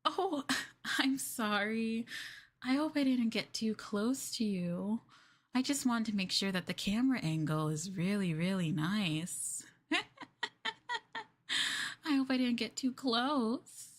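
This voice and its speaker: A woman voicing a sweetly possessive, obsessive young girlfriend in an ASMR roleplay, coaxing and cooing between soft speech and whispers. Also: a little high, animated, takes it slowly, long pauses. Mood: gushing, possessive. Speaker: ENVtuber streamer performing ASMR roleplay.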